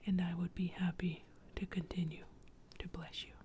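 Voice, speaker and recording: A woman voicing an ancient, benevolent male river spirit in a slow, soothing whisper for a bedtime-style story. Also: lowish voice, little pitch movement, mellow, a little hiss or hum, lightly acted. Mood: kindly. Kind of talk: ASMR storytelling.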